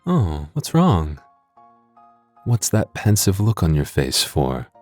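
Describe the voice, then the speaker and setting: A man's voice: low-pitched, huge pitch swings, slow, a little mellow, soft background music, exaggerated voice. A man playing a coy, flirtatious masked host who addresses the listener in playful ASMR roleplay.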